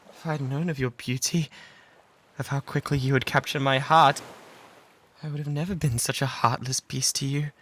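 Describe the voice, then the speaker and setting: A man with a British accent playing an obsessive, lovesick pirate in an intimate ASMR roleplay, breathy and often whispering, pleading theatrically and devotedly. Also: on the high side, a bit bright, natural voice. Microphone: close binaural microphone. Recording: close binaural recording with background ambience noise.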